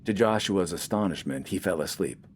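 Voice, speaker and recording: A man's voice: low-pitched, animated, normal speed, a little hiss or hum. A man reading scripted, dramatic fiction as an audiobook narrator.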